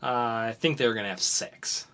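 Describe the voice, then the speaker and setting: A man co-hosting a casual comic book podcast, joking around and reading out credits with bouncy energy. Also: a little fast. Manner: jokey, bouncy, goes high and loud when excited. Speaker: podcast co-host. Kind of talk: casual comic review chat.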